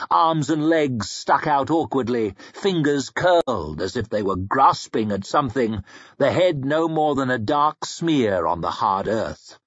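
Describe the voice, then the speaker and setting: A man's voice: medium pitch, husky, no echo, dull audio. A man narrating fiction as an audiobook reader, dramatic, grave and suspenseful.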